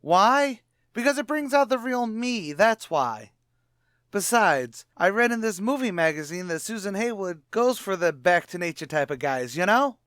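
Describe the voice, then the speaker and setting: A man's voice: high-pitched, very animated, moderate pace, fairly bright tone, no echo, studio quality. A man playing a brash, slangy, bluffing tavern manager who wisecracks in an old-time radio comedy. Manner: wisecracking, mock-grandiose.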